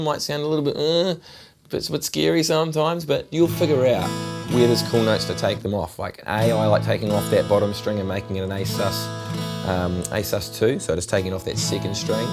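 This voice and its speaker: A middle-aged man with a New Zealand accent, giving a relaxed, chatty guitar lesson. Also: fairly low pitch. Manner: relaxed, chatty, repeats himself while demonstrating. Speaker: guitar teacher. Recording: loud guitar over the voice. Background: acoustic guitar playing.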